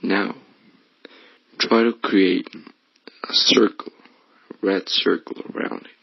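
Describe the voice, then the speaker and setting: Male meditation guide, low pitch with gravelly fry, hushed delivery, slightly muffled recording. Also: fairly even intonation, takes it slowly, dry recording. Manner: hushed, breathy, often slipping toward whisper. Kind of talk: guided meditation, soothing instruction.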